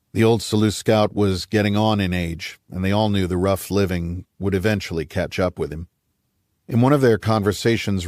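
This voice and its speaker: A man's voice: low voice, darkish tone, no echo, studio quality. A man reading a scripted thriller as an audiobook narrator.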